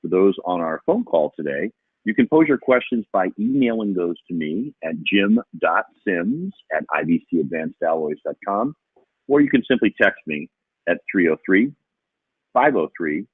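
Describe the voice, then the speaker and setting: A man's voice: deep voice, very animated, normal speed, breathy edge, no echo. A man moderating audience questions on an investor conference call in a polite, procedural way. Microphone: telephone on a conference call. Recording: dry, narrowband tinny phone line.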